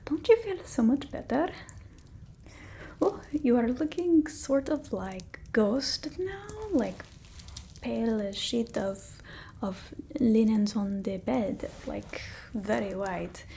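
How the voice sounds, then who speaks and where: A woman's voice: on the high side, lively intonation, vocal fry, a little hiss or hum, full character voice. A woman voicing a fussy, condescending female vampire in ASMR roleplay, mostly whispered, close to the mic. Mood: doting, fussy. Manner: halting, fussy, gently bossy, blunt. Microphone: close ASMR microphone.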